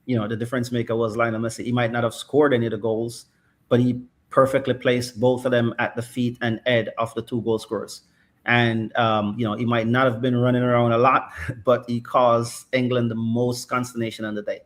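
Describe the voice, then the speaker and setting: A man's voice: mid-range voice, level tone, a little fast. Thoughtful middle-aged male football pundit. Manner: thoughtful, frequent "right?" and "um". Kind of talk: casual analytical chat.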